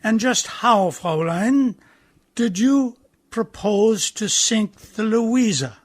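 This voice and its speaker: An actor playing a stern, pompous naval officer in a radio play, questioning in a formal, commanding way. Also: male voice, sits high, animated, slow pace, heavily acted. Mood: amused, curious.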